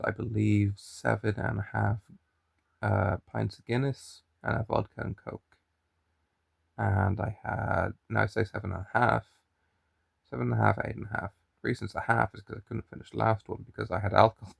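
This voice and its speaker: Low gravelly young Irish man. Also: level tone, moderate pace, no echo. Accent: mild Irish. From Ireland. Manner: rambling, chatty, frequent restarts. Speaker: daily vlogger. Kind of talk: casual chat to camera.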